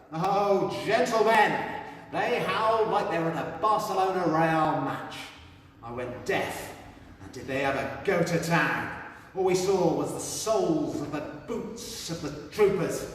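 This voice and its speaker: An actor playing a rowdy young football fan who swears freely and brags about street fights. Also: male voice, fairly high pitch, a little hiss or hum, close to an everyday voice. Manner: profane, boastful storytelling. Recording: echoey hall from far off.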